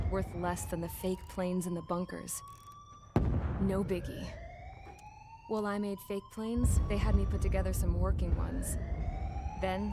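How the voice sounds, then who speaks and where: A woman's voice: lowish voice, heavy background noise, lightly acted. A woman playing a jaded young prisoner mechanic who narrates a war game cutscene in a dry, sardonic manner. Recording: loud music behind the voice.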